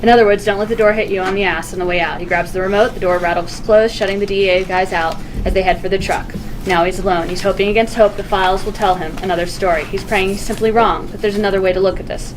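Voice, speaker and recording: A woman's voice: medium pitch, steady tone, fast. A woman reading screenplay stage directions aloud as scripted narration. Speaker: stage directions reader at a table read. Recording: noisy room recording.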